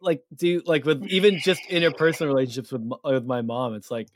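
A man hosting a podcast, chatting in a relaxed, conversational way. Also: medium pitch, quick pace, no echo, clean studio sound. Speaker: podcast host. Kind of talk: casual chat.

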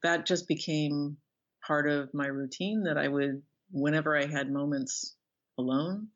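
A middle-aged American woman being interviewed as an author, speaking thoughtfully and haltingly as she searches for words. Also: sits low, highs cut off. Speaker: interview guest, author and professor. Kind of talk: reflective interview conversation.

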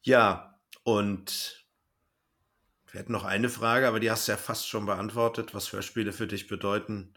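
Low-voiced man chatting. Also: steady tone, normal speed, bright, forceful tone. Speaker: podcast host. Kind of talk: casual podcast interview chat.

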